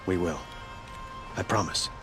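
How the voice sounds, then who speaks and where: An actor playing a dignified, grieving king in a video game, speaking in a formal, measured, courtly way. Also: deep voice, over music. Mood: solemn resolve. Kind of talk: dramatic video game acting.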